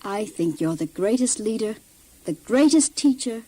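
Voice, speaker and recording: An actress playing a prophet's devoted, admiring wife, tender and earnest, in an audio drama. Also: female voice, a little high, animated, normal speed, mellow, low-quality recording. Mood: adoring.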